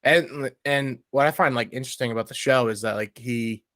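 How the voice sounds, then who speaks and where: A man's voice: medium pitch, fairly quick, sharp tone, no echo, clean studio sound. A man in a casual, slangy podcast chat, rambling and blunt, with lots of filler words. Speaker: podcast guest reviewing a TV show.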